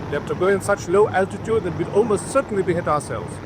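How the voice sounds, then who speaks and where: Actor as cautious German aircraft commander over loud engine drone. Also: male voice, high voice, quick pace, noisy background, natural voice. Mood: firm, cautious. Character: cautious, authoritative German reconnaissance plane commander. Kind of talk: wartime radio drama acting.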